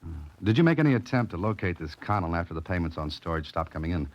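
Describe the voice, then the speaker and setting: An actor playing a wry, wisecracking amateur sleuth in a clipped, sardonic 1940s radio drama style. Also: male voice, low-pitched, lively intonation, quick pace, muffled recording. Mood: polite, probing. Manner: sardonic, hard-boiled, clipped. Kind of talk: 1940s radio drama acting, first-person narration. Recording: old radio broadcast with music.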